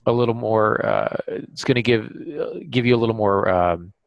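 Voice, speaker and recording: A man hosting a podcast, giving casual writing advice in a halting way, as if thinking aloud. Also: on the low side, gravelly, dry recording, muffled recording. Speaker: podcast host and novelist. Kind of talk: casual instructional discussion.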